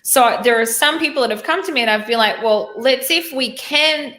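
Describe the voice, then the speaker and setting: A chatty woman from New hosts a casual interview. She rambles and corrects herself as she goes, in a slightly high voice. The room around her sounds echoey. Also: brisk, bright, forceful tone. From New Zealand. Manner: talkative, rambling, self-correcting. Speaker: host.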